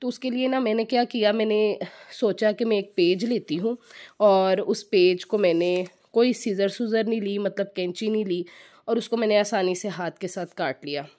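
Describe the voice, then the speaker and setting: A woman with a slightly hoarse throat, giving a chatty home tutorial for mothers that mixes in English words. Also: medium pitch, normal speed, darkish tone. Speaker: home teaching channel host. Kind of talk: casual home tutorial talk.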